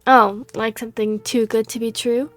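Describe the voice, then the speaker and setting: A woman's voice: fairly high pitch, little pitch movement, moderate pace, faint music behind, lightly acted. A woman reading the lines of a friendly female tavern innkeeper in her own plain voice, slightly losing her voice. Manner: reads dialogue aloud in her own plain voice.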